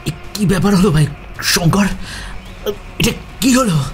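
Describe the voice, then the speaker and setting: Alarmed, excitable young adventurer played by a man. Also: high-pitched, measured pace, slight background noise. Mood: bewildered, fearful. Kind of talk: Bengali audio story acting.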